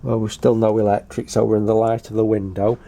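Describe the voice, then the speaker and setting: A man's voice: on the low side, level tone, a little fast, vocal fry, mellow. An older British man giving a casual show-and-tell commentary in a hesitant, matter-of-fact way. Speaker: hobbyist video presenter.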